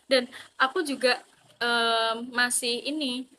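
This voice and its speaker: A woman's voice: on the high side, fairly even intonation, slow, pushed and bright, a little hiss or hum. A young woman from East Java with an East Javanese Indonesian accent, chatting animatedly about student life. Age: young adult. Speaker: vlogger, university student. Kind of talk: casual chat.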